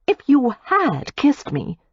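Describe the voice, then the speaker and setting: A hot-tempered young woman in a storybook, her voice pitched high and sharp with indignation. A woman narrator acts her out. It sits on a dry, slightly muffled audiobook recording. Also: wildly swooping pitch, slow pace, dry recording, full character voice. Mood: indignant. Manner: raised, heated, accusing delivery. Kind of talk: audiobook character voice.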